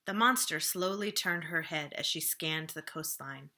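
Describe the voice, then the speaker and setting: A woman reading a children's storybook aloud expressively, with deliberate dramatic emphasis. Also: low-pitched, bright, forceful tone.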